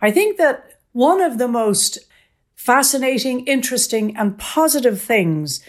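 A woman's voice: a little high, animated, unhurried. Woman professor explaining science. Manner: articulate, explanatory, enthusiastic. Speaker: professor, interview guest. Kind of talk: expert explaining in an interview.

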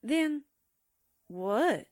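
A woman's voice: sits high, lively intonation, extremely slow, darkish tone, no echo, studio quality, exaggerated voice. A woman acting a feisty, wisecracking newspaper sidekick in a 1940s-style radio drama, breezy and sardonic. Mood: frightened.

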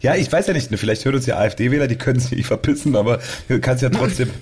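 A man's voice: mid-range voice, animated, quick pace, a little mellow, breathy edge. A man chatting casually as a podcast host, talkative and rambling, often breaking off his sentences. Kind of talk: casual chat.

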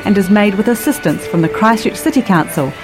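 A woman's voice: mid-range voice, expressive, a little fast. A woman reading a scripted podcast outro as its presenter. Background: music.

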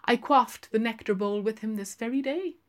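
A woman's voice: fairly high pitch, normal speed, fairly bright tone, pitched above the performer's own voice, lightly acted. A woman reader voicing a florid, wheedling male barfly in a literary audiobook, theatrical and grandiloquent. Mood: showy, boastful.

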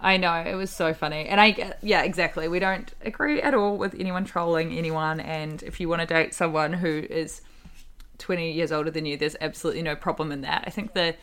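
A woman's voice: deep voice, a little fast, fairly bright tone, smooth. A woman co-hosting a podcast, chatting casually and laughing often as she tells a story. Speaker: podcast co-host. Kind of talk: casual chat.

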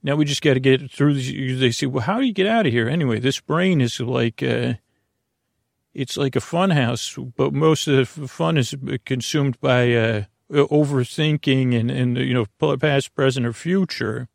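An American man hosting a sleep podcast in a creaky voice, rambling soothingly through tangents and stumbling over his words. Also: medium pitch, moderate pace, no echo, clean studio sound. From Long Island, US. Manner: meandering, tangential, stumbling and mashing words together; lulling, soothing delivery. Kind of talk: rambling bedtime monologue.

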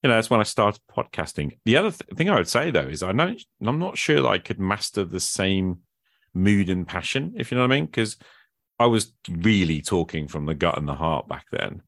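A man with a deep voice talks casually as a podcast host. He rambles informally and thinks aloud, and the sound is dry and close, with very little room around it. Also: sing-song, a little fast, creaky, fairly bright tone, no echo. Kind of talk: casual conversational interview.

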